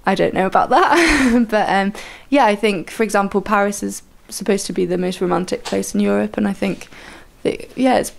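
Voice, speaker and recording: A woman's voice: mid-range voice, moderate pace, vocal fry, few pauses. A woman answering interview questions thoughtfully, with frequent ums. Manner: reflective, many ums. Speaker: student or lecturer interviewee.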